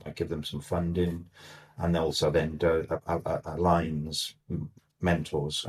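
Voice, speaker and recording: An older man, a semi-retired mentor, explaining things in a measured, conversational way with frequent restarts. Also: very deep voice, darkish tone. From Wales. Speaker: guest mentor. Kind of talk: relaxed conversational interview.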